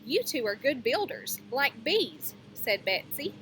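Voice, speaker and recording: A woman teacher reading a picture book aloud to young children, pausing to explain things and ask them questions. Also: sits high, big pitch swings, fairly bright tone, slight background noise.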